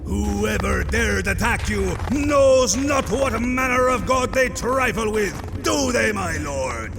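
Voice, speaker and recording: A man's voice: high-pitched, lively intonation, slow, vocal fry, over music, voice pushed up, full character voice. A man playing a devoted, humble Norse villager in a comic book audio drama, on a noisy home recording. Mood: fervent, rousing.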